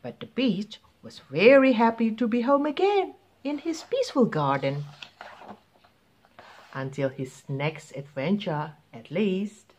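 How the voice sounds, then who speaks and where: A woman narrates a picture book for children. She reads slowly and deliberately, with very expressive, lively pitch. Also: dull audio. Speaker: children's story reader.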